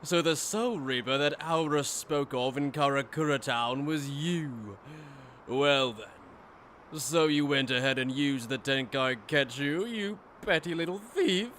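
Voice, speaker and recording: A man's voice: a little high, unhurried, a bit bright, slight background noise. A man playing a cruel, mocking aristocratic villain in an anime fan dub, with pompous, condescending, theatrical delivery. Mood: haughty, amused. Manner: pompous, theatrical, sneering formal speech.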